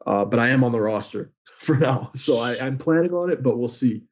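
A man's voice: mid-range voice, expressive, brisk. A young man in his twenties, born in Greece, answering interview questions in a chatty way with many filler words. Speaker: guest, hockey player and sports news account runner.

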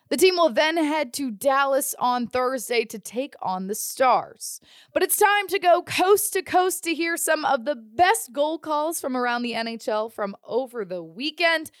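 A woman's voice: high voice, no echo, clean studio sound. A woman hosting a sports podcast, reading scripted news and recaps. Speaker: podcast host.

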